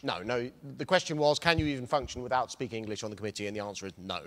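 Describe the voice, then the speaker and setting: A man's voice: mid-range voice, very fast, a bit bright. A man originally from the Netherlands explaining his reasoning as a panelist in a large lecture hall. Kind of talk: panel discussion. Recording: reverberant hall.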